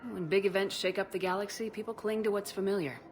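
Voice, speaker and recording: A woman's voice: medium pitch, fairly even intonation, quick pace, lightly acted. A woman playing a frank, encouraging female military commander in a video game, speaking directly and reassuringly. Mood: steady. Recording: background music.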